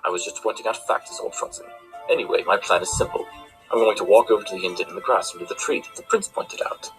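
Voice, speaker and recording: A man's voice: on the low side, fast, music in the background, close to an everyday voice, poor audio. A man playing an aloof, self-important pony detective in a cartoon drama, speaking precisely and pompously. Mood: self-assured. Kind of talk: fan-made cartoon audio drama acting.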